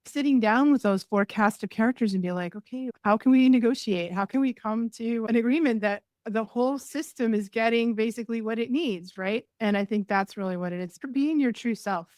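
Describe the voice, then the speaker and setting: A woman's voice: fairly high pitch, a little fast, hardly any pauses, a little mellow, dry recording. A woman from New England, a podcast guest and healer, giving advice in short listed phrases with occasional laughs. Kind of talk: casual interview chat.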